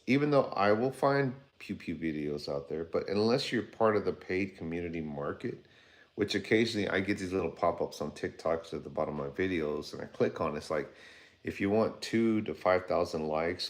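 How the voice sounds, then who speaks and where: A man in his fifties with a very low, deep voice chats loosely on a livestream. He rambles from thought to thought and calls out to his viewers by name. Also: vocal fry. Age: 50s. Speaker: livestream host. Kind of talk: casual livestream chat reading viewer comments.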